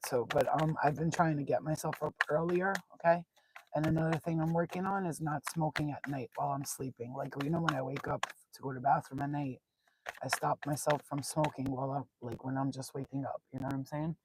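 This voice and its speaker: A woman from Albania with a husky, rough voice, talking informally as an online spiritual card reader, blunt and profane, repeating herself for emphasis. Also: low-pitched, a little mellow, slight background noise, poor audio. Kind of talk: informal, unscripted talk to followers.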